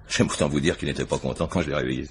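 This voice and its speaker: A man's voice: very deep voice, fast talker, slight background noise. An actor playing a diligent, chatty police inspector in a French radio crime drama, reporting to his superior with informal hesitations and wry asides.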